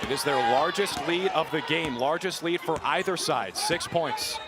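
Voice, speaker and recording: A man's voice: on the high side, moderate pace. Young male sportscaster over noisy backdrop. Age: early 20s. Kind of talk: play-by-play commentary and sports reporting. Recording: clear background noise from the venue.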